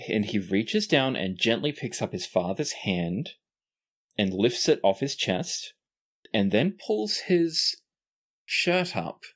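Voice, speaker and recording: A man with a fairly low voice acts as game master of a tabletop fantasy game. He narrates scenes in a descriptive storytelling way and explains the rules as he goes. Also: sing-song, slow pace.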